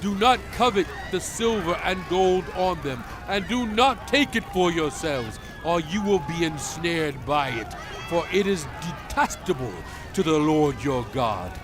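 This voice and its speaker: Stern prophet, slow deliberate delivery, higher-set male voice, fervent, emphatic, audio Bible drama, music underneath. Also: animated, crowd noise. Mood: stern, forceful. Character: solemn, commanding male prophet addressing his people, stern and fervent. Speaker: dramatized scripture narrator.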